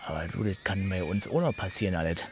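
A man's voice: sits low, quick pace, noisy background. An actor playing a nosy, accusing village man in a radio play, speaking colloquially and confrontationally with a Berlin-Brandenburg accent. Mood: suspicious. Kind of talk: naturalistic German radio play acting.

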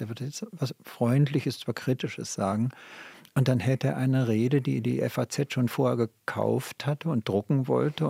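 A man's voice: mid-range voice, creaky, dark tone, breathy edge, no room sound, studio quality. An older man answering interview questions as a literary scholar, searching for his phrasing and repeating points for emphasis. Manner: halting, searching, emphatic repetition. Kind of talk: reflective spoken interview answers.

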